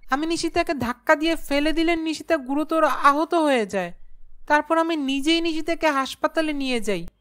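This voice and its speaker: Woman voicing a high-pitched cowardly young male blackmailer. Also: a little fast, a bit bright. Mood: guilty, confessing. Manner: pleading, stammering when frightened. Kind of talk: dramatic horror cartoon acting.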